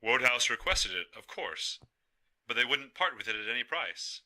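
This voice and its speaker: Forceful, bright-voiced male storyteller. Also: mid-range voice, sing-song, normal speed. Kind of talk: dramatic folktale narration.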